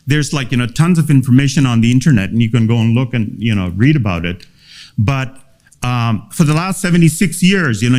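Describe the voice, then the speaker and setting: A man's voice: fairly high pitch, a little mellow. A man from Gilgit-Baltistan whose first language is Tibetan, giving an earnest seminar talk with frequent fillers and restarts. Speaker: seminar speaker. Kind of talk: impassioned public talk.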